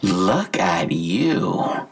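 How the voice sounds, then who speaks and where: A man playing a smug, gloating imprisoned villain in an audio drama, slow and mocking, with a gravelly, husky voice. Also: deep voice, big pitch swings, very noisy, full character voice.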